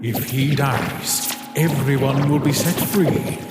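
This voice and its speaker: A man playing a calculating male schemer with a deliberate, persuasive, theatrical manner in a comic dub. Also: mid-range voice, close to an everyday voice. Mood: coaxing. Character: manipulative male schemer urging a warrior, grave and calculating. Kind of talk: dramatic comic dub voice acting. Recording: echoey reverberant sound under loud music.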